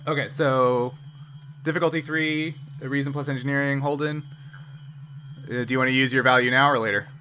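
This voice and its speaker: Bright-voiced man running a tabletop game. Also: mid-range voice, steady tone, a little fast. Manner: hesitant, improvising explanations. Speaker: game master. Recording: clear background noise with ambience.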